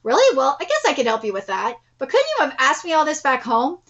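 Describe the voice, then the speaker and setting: A woman's voice: sits high, huge pitch swings, fast, a bit bright, highs cut off, heavily acted. A woman voicing an easygoing, unsuspecting young man from a manga in a casual, obliging way. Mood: puzzled, agreeable.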